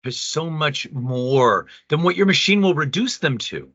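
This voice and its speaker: A man performs an earnest male pastor in an audio play, a lively, bright voice on the higher side. He is reflective and philosophical, choosing his words with care. The sound is dry and slightly dull. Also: dry recording, heavily acted. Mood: grave, reproachful. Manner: thoughtful, measured, philosophical. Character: earnest male pastor, secretly a weary, loving god. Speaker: pastor in a debate.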